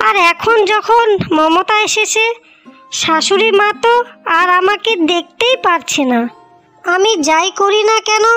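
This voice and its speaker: Scheming, sulky young-wife villain for a moral cartoon, played by a woman: piercingly high, bright, bitter, music underneath. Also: full character voice. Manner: complaining, sarcastic, vindictive. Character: jealous, resentful elder daughter-in-law, scheming and bitter. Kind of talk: Bengali moral-story cartoon acting. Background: background music.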